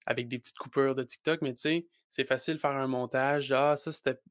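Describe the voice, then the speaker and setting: Young guy, Québécois accent, podcast guest; stop-start delivery, monotone, dry. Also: mid-range voice, fairly quick, no echo. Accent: Québécois French. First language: French. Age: young adult. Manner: hesitant, many restarts and fillers. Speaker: wrestler guest. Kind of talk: casual podcast interview.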